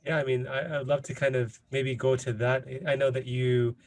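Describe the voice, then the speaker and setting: A man's voice: mid-range voice, level tone, moderate pace, slight background noise. Hesitant man hosting a podcast. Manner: hesitant, many fillers like "you know" and "kind of". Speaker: podcast host. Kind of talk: casual interview chat.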